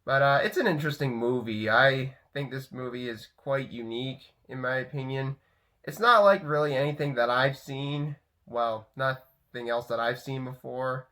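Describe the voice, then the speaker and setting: A young man talks through a movie review while sick with a head cold, his throat sore. He rambles, restarting sentences and leaning on "so, uh" fillers. His pitch sits in an everyday middle range. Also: moderate pace, sharp tone. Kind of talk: casual vlog review.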